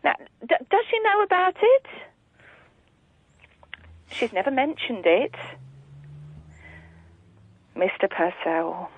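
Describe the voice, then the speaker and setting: An actress playing a brisk, blunt and bossy estate agent. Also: female voice, fairly high pitch, no room sound. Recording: tinny dry phone-line sound.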